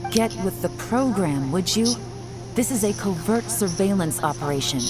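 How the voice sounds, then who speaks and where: An actress playing a cool, commanding female secret agent in a video game dub, measured and authoritative as she directs a covert operation, over game music. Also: medium pitch, expressive, lightly acted. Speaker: covert intelligence agent leading a surveillance operation. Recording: game soundtrack music underneath.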